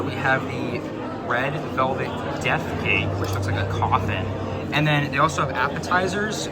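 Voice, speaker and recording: A young man vlogging through a theme park, upbeat and enthusiastic, his voice slightly raspy and hoarse. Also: medium pitch. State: hoarse from shouting on a coaster. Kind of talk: casual vlog narration. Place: indoor and outdoor areas of a theme park.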